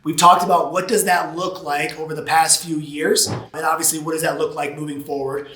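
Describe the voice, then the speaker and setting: Man, 30s, hoarse, losing his voice, bright tense tone, echoey office. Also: a little high, fairly quick, slight background noise. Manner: energetic, gesturing with both hands. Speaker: real estate agent. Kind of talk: casual to-camera talk. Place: office room with glass walls.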